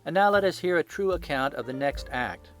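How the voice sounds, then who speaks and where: A man playing a confident gentleman detective in an audiobook, speaking with brisk authority and dry wit. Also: on the high side, lively intonation, faint music behind, pitched above the performer's own voice. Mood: stern. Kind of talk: audiobook character acting.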